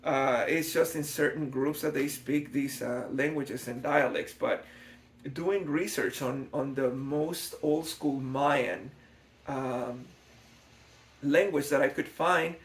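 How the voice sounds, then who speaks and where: A man's voice: mid-range voice, slow pace. A man from Guatemala gives an unscripted documentary-style explanation, thoughtful and hesitant, often repeating words as he goes. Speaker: scale model builder, documentary narrator. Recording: echoey, reverberant room.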